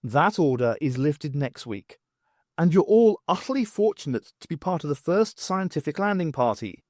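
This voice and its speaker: A man reading a first-person story aloud as an expressive audiobook narrator, with wry, dramatic, emphatic phrasing. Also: on the high side, normal speed, no echo, clean studio sound. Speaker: audiobook reader.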